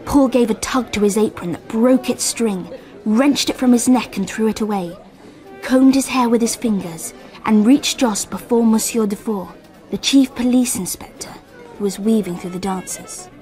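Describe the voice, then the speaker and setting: A sensitive adolescent girl acted by a woman tells her story in a radio play. Her voice is fairly high, intimate and wistful, often hushed. Music plays behind her. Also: normal speed, close to an everyday voice, poor audio. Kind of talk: radio drama, first-person narration.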